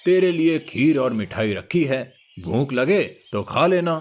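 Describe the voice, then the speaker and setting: A man's voice: medium pitch, big pitch swings, unhurried. A man voicing a patient, fatherly old cowherd grandfather in a cartoon story. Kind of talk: Hindi cartoon moral story dialogue. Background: music.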